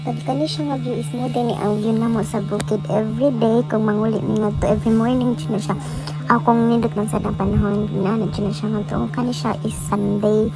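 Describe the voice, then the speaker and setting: High-pitched Bisaya woman vlogger over loud music. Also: flat delivery, brisk, rough recording. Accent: Cebuano Bisaya. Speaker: vlogger narrating a minivlog. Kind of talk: casual vlog voice-over.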